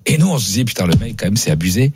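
A man hosting a radio show, speaking in casual slang with bantering, animated storytelling. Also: on the low side, very fast, slightly rough, no echo. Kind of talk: casual bantering talk and comic anecdotes.

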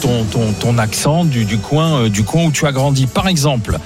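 A man hosting a talk radio debate, brisk and lively, steering the panel with questions. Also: medium pitch, music in the background. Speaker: radio show host.

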